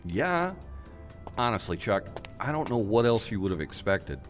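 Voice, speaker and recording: A breezy trickster tour guide of hell played by a man in a comedic radio play, teasing and casual. His lowish voice moves up and down a lot over faint music. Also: sing-song, normal speed.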